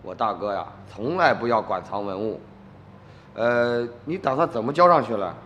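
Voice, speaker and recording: Actor as sly, animated tomb-robber dealer. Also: male voice, medium pitch, moderate pace, dull audio, a little hiss or hum, natural voice. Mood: sly menace. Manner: cajoling, sly, interjection-laden. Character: wily, smooth-talking tomb-robber dealer, quietly menacing. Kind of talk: Chinese radio drama acting.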